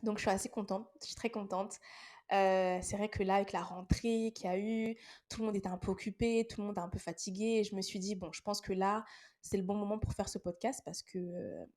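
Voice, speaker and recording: A woman's voice: mid-range voice, fairly even intonation, brisk, darkish tone. A young woman with a head cold hosts a casual faith talk podcast, speaking to her listeners in an earnest, encouraging way. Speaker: podcast host.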